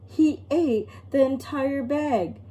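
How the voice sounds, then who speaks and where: A woman reading a picture book aloud as an expressive storyteller, acting out the tale and explaining as she goes. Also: sits high. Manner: acts out characters and pauses to explain words. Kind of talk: expressive children's read-aloud.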